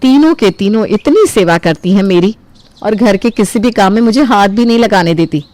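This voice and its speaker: Cartoon family drama: good-hearted, gullible matriarch played by a woman, mid-pitched, affectionate, close dry sound. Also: expressive, moderate pace, a little mellow, no room sound, natural voice. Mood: humble, moved. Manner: effusive, affectionate, blessing. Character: good-hearted, trusting elder sister-in-law and mother.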